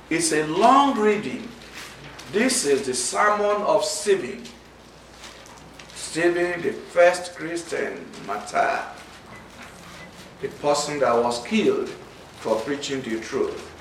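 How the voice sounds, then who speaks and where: A man with a Nigerian accent preaching a sermon, speaking slowly and distinctly and reading scripture aloud. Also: a little high, a little hiss or hum. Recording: echoey room, from far off.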